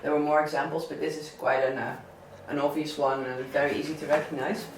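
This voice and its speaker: A man with a southern Dutch accent talking casually about favourite records, halting often with fillers and restarts. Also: fairly high pitch, little pitch movement, background noise. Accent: Dutch, southern Brabant. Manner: hesitant, many fillers and restarts. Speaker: DJ and record selector. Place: indoor listening session. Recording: echoey room, mic at a distance.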